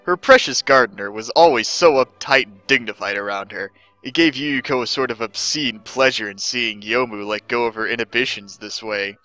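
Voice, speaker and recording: A man's voice: medium pitch, moderate pace, hardly any pauses, bright, forceful tone, dry recording, faint music behind, poor audio. A man giving a mock-serious dramatic reading of comic fan fiction as its narrator.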